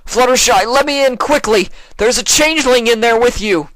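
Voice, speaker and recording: A narrator voicing a commanding male pony guard who shouts urgently and protectively. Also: mid-range voice, moderate pace, no room sound, pitched above the performer's own voice, natural voice. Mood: alarmed, commanding. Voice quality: loud, raised shouting voice.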